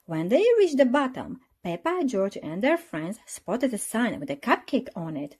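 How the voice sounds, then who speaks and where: Husky, animated woman reading a children's storybook. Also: mid-range voice, moderate pace. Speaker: storybook reader. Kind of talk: expressive children's read-aloud narration.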